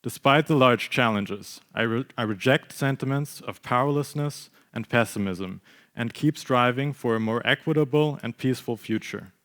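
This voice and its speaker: A man's voice: medium pitch, moderate pace, fairly bright tone. A young man giving a prepared personal statement as a youth speaker. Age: young adult.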